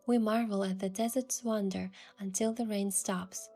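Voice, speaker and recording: A young woman gently narrating a romantic story in the first person as its heroine, in a soft, hushed voice. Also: mid-range voice, steady tone, normal speed, soft background music.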